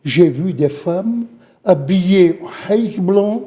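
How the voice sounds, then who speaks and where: An older man from Algeria giving a halting, emotional eyewitness testimony as a guest on a studio news program, repeating phrases as he recalls events. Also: high voice, animated, mellow. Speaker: witness guest. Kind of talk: spoken testimony in a studio interview.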